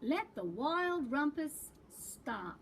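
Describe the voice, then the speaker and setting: A woman's voice: high-pitched, sing-song, extremely slow, a little hiss or hum, voice pushed up, heavily acted. A woman voicing a bold, bossy little boy in a storybook, with a commanding, emphatic delivery.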